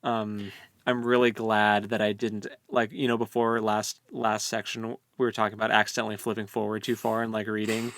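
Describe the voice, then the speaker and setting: A man's voice: fairly low pitch, level tone, brisk, a bit bright, dry recording. Casual man chatting, close and dry. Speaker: podcast co-host. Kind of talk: casual book-club discussion.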